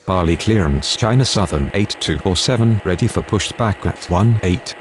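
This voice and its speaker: A man acts a male pilot or controller in a game. His voice is clearly low. He recites standard aviation calls over background noise. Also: lively intonation, normal speed. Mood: procedural. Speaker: air traffic radio voice in a game. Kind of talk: scripted air traffic control phraseology.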